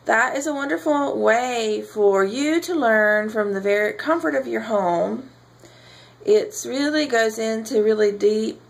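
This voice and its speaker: A woman with a slow, creaky delivery speaks informally as a spiritual teacher addressing followers. Her pitch sits in the middle for a woman, and her phrasing halts with frequent pauses. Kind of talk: informal spoken announcement to camera or followers.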